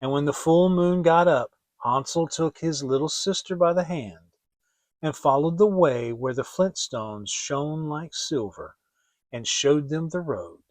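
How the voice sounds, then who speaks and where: Male audiobook narrator: expressive, theatrical fairy-tale delivery, voice a bit higher than average, very dry sound. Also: unhurried, no room sound. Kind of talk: dramatic fairy tale reading, scripted narration.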